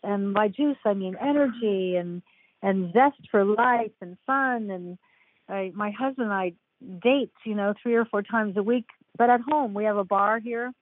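A woman's voice: medium pitch, normal speed, no room sound. An older woman, a guest author, chatting on a podcast with enthusiasm and frequent laughter. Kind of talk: casual podcast chat. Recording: tinny phone-like line, dry.

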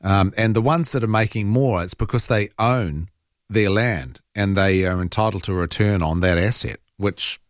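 A man's voice: lowish voice, moderate pace, no echo, clean studio sound. A man hosting a talk radio show, conversational and opinionated. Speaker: radio host.

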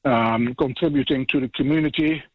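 Dutch guy calling in on the phone, lots of ums, husky, sounds like a phone line. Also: medium pitch, brisk, no room sound. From Netherlands. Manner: hesitant, many ums and restarts. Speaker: caller, farmer. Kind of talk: casual phone-in chat. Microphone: telephone.

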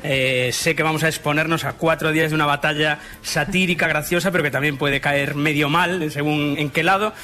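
A man's voice: a little high, fairly even intonation, brisk, hardly any pauses, bright, forceful tone. A man from Galicia chatting casually and giving opinions as a radio panellist. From Galicia, Spain.

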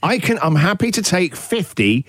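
Australian male breakfast host, animated pitch, dry studio sound, enthusiastic. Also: moderate pace, no echo. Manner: energetic, enthusiastic, jokey. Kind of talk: lively radio banter. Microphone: studio mic.

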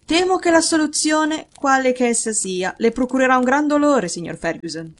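A woman reader voicing a keen, inquisitive male detective with a measured, probing manner, in an audiobook story. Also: high-pitched, animated, voice pushed up. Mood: composed, grave.

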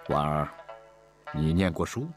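A man voicing a kindly, soft-hearted wealthy master who speaks in a soothing, placating way. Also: very deep voice, measured pace, music in the background.

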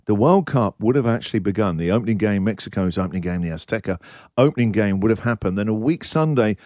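Excitable male breakfast radio host, dry studio sound. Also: lowish voice, fast, no room sound. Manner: excitable, emphatic, rambling. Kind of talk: lively radio banter and monologue.

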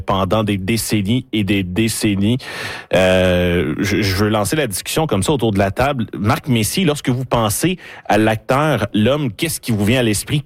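Radio host, low and dry. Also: male voice, no echo. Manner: measured, steady, many hesitations. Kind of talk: hosting a panel discussion.

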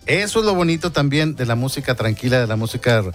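A man's voice: mid-range voice, expressive, fast, soft background music. A man hosting a morning radio show, chatting casually and laughing easily with his guests. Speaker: radio host.

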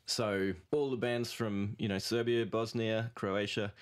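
A man's voice: fairly low pitch, flat delivery, unhurried. A man chatting casually as a musician being interviewed, in a jokey way with a ready laugh. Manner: jokey, laughs often while talking. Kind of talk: casual chat.

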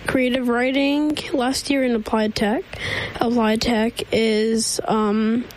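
A woman's voice: fairly high pitch, fairly even intonation, takes it slowly, slight background noise, rough recording. A teenage girl answering interview questions on the radio, hesitant with many ums. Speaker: student guest.

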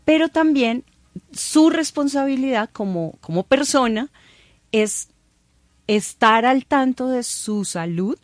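Calm woman doctor explaining. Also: high voice, slow, no room sound. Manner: calm, patient, explains plainly with everyday examples. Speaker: doctor, guest expert. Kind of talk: explanatory interview talk.

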